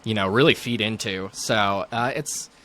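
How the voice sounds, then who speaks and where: A man's voice: on the low side, normal speed, fairly bright tone, a little hiss or hum. A man in his thirties speaking as a neurologist guest in a casual interview.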